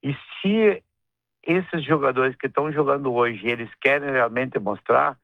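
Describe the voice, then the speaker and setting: A middle-aged man with a gaúcho accent from southern Brazil, a businessman guest talking in a radio interview, rambling and halting with many restarts and tag questions. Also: medium pitch, no room sound. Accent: Gaúcho Portuguese. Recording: tinny phone-line radio sound.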